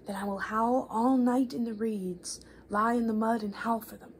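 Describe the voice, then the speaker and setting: Woman reading as a soft, yearning storybook goblin. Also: fairly high pitch, steady tone, moderate pace, a little hiss or hum, natural voice. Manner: insistent, yearning. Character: pleading, covetous goblin howling for glass beads.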